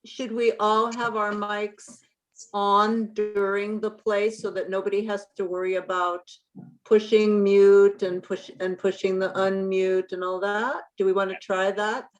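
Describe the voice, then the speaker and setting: A woman with a medium-pitched, fairly flat voice speaks casually in a group meeting. She is a participant in an amateur play reading. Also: measured pace, low-quality recording.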